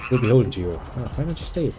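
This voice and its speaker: A man's voice: medium pitch, sing-song, racing, noisy background. A man reading game dialogue aloud and adding dry, sarcastic jokes as he plays. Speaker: gameplay video host.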